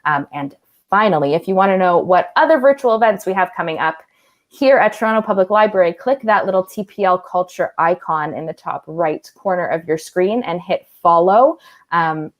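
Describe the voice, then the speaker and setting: A woman speaking as a library event host, introducing guests at an online event. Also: on the low side.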